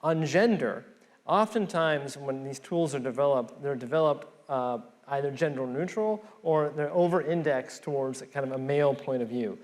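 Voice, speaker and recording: A man's voice: medium pitch, normal speed, a little mellow. A man from Pakistan whose first language is Urdu, speaking on a conference panel with many fillers and restarts.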